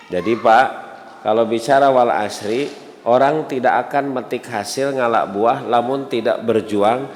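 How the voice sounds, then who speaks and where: A man with a Sundanese accent preaching to a congregation in a didactic, conversational way, repeating key words and asking rhetorical questions. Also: mid-range voice. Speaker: Islamic preacher. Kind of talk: sermon to a congregation, conversational teaching. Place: mosque hall. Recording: echoey hall with long reverb.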